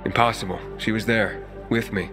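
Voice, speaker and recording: An actor playing an impulsive, stubborn young man in a video game cutscene, with a gravelly voice and blunt, informal, naturalistic delivery. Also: on the low side, fairly even intonation, moderate pace, lightly acted. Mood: insistent, troubled. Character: impulsive, stubborn young man searching for his lost sister. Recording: music behind the voice.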